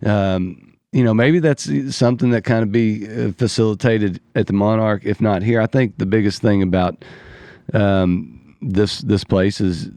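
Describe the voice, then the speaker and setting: A man with a fairly low voice co-hosting a music podcast. He thinks aloud loosely and drifts between ideas with plenty of fillers. The sound is dry and close. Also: fairly even intonation, a little slow, mellow, dry recording. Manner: thoughtful, loose, with many fillers. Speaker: podcast co-host. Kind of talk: casual podcast chat.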